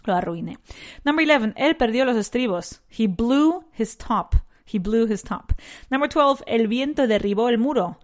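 A woman with a nasal voice teaching an English lesson. She repeats each sentence slowly and switches between English and Spanish. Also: on the high side, animated. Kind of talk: bilingual language lesson.

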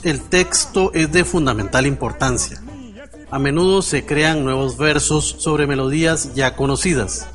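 Un hombre que lee una narración documental preparada para un programa de radio, con tono formal y pausado. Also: male voice, a little high, a bit bright. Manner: formal, measured reading. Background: music.

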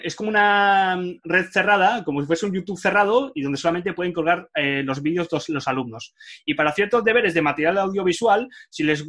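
A man's voice: fairly high pitch, a bit bright. A man hosting an online workshop for teachers, chatty and hesitant with many fillers. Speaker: workshop host for Spanish teachers. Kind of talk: casual online workshop talk.

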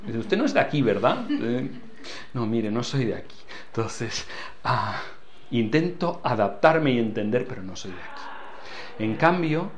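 A man's voice: mid-range voice, sing-song, a little slow, slight background noise. An older man with a foreign accent, a church leader giving earnest interview answers and repeating key phrases for emphasis. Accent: foreign accent in Spanish.

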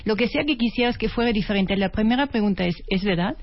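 A woman's voice: medium pitch, quick pace. A woman from Austria with an Austrian accent, earnestly explaining a method step by step as a radio guest. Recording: dull lo-fi radio audio.

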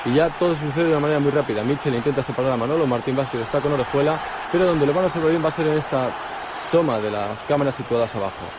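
A man with a Spain Spanish accent giving measured television football replay commentary in an old broadcast recording. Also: mid-range voice, crowd noise. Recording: noisy archive television broadcast.

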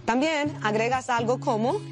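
A woman's voice: high voice, normal speed. A woman from Honduras, presenting a scripted instructional charity video. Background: background music.

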